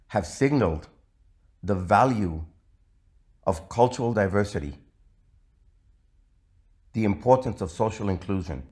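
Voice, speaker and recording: A man, giving a recorded slide lecture in a measured way with frequent fillers. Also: deep voice, a little mellow. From Venezuela.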